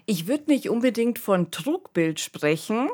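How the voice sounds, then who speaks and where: Female podcast co-host, mid-pitched, talks things through analytically in a conversational register. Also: very animated, measured pace, darkish tone. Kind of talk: casual discussion of science fiction radio plays.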